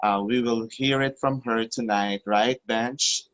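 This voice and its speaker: A man hosting an online talk show, speaking haltingly with many fillers. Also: medium pitch, steady tone, a bit bright, poor audio. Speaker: host.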